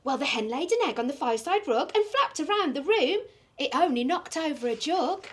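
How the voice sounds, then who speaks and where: Middle-aged woman, children's bedtime storyteller, very high pitch, lively expression. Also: fairly quick. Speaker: primary school storyteller.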